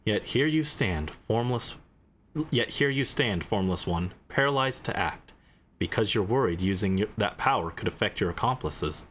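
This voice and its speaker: A man voicing a composed, eloquent investigator and commander in a game, speaking in a measured, formal, deliberate way. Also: fairly low pitch, expressive, a bit bright, slight background noise. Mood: calm, accusing.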